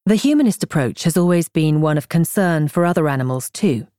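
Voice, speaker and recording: A woman reading a nonfiction audiobook in a measured, articulate way, as a scripted narrator. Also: low-pitched, expressive, mellow, no room sound, studio quality.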